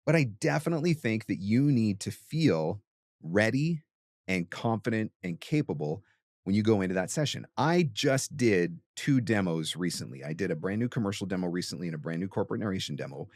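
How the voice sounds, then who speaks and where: A man's voice: on the low side, lively intonation, a little fast, darkish tone, no room sound, studio quality. A man hosting a talk and giving conversational coaching advice, emphatic and repeating phrases for stress. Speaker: host and voiceover coach answering a question.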